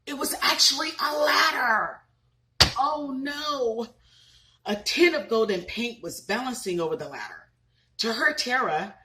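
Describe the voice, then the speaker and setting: A woman in her thirties reading a children's picture book aloud, pausing to ask the listeners questions. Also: fairly high pitch. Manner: expressive, asks listeners questions.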